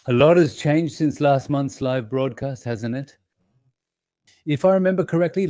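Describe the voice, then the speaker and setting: A man hosting an investing channel, talking in a gentle, reassuring and slightly apologetic way to his members, with small fillers as he thinks aloud. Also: medium pitch, no room sound, studio quality. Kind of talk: casual live-stream talk to members.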